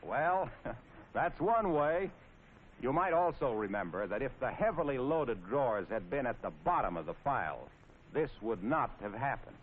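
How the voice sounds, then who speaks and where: A man's voice: medium pitch, big pitch swings, moderate pace. An American man narrating in 1940s training film style, wry, folksy and playfully sarcastic. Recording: scratchy, tinny 1940s film soundtrack with noise.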